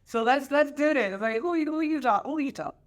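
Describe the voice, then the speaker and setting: A woman pastor hosting a casual chat, coaching her guest with lively, repetitive emphasis and preacherly turns of phrase. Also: high voice, fairly quick. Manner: preacherly scripture quoting. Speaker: pastor and show host.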